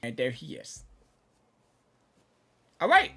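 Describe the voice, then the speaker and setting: Bright, slow, animated woman reacting to a game. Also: a bass voice, creaky, slightly rough. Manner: informal, drawn-out, exclamatory. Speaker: video game streamer reacting. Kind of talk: casual reaction commentary.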